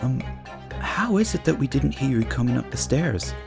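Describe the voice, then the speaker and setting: A man voicing an earnest, caring, easily exasperated young protagonist in an anime-style audio drama, slightly husky. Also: mid-range voice, big pitch swings, normal speed, music in the background. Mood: puzzled.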